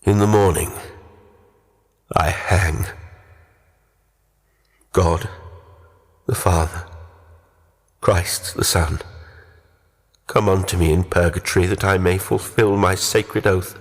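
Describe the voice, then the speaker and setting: Man acting a solemn condemned man, very slow and husky. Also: low voice, fairly even intonation, long reverb tail. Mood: solemn, pleading. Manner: solemn, archaic, prayerful declamation. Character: condemned man, solemn, devout, archaic confessor.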